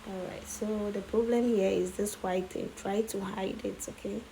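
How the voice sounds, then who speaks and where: A hoarse woman talks viewers through a tutorial step by step. Her voice is mellow and a little worn. She keeps checking in with a quick "okay?". A faint hiss of background noise sits behind her. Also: mid-range voice, steady tone, rough recording. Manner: chatty, keeps adding "okay?". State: hoarse, lost voice from coughing. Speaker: tutorial host.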